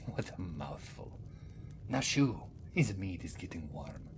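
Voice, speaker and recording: A man voicing a mysterious, wry old cat-man, rambling in a breathy half-whisper for an ASMR fantasy roleplay. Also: deep voice, sing-song, background noise, heavily acted. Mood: wry, weary. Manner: rambling, third-person speech, theatrical pauses. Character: mysterious old male Khajiit cat-man wanderer, wry, world-weary, teasing. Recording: music behind the voice. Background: prominent background music.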